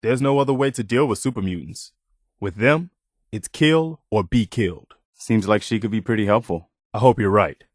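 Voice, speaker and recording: An actor playing an earnest, loyal militia leader in a video game, speaking in a sincere, measured, conversational way. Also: male voice, lowish voice, no echo, clean studio sound, lightly acted. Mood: serious, concerned. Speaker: video game companion character. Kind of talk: acted video game dialogue lines.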